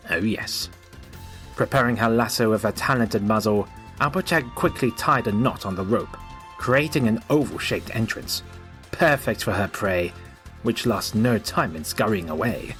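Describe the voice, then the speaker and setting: A man narrating a scripted story in an expressive, theatrical way. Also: lowish voice.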